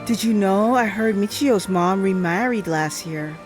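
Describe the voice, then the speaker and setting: A woman's voice: medium pitch, unhurried. A woman livestreaming a game in a casual, chatty way, thinking aloud and reading on-screen text. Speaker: live game streamer. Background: music.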